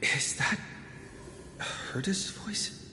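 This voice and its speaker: A man's voice: drawn-out words, pushed and bright, exaggerated voice. A man voicing an earnest young video game hero who sounds hesitant, as if thinking aloud. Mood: pensive, uneasy. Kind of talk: dramatic video game voice acting. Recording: echoey reverb, music underneath.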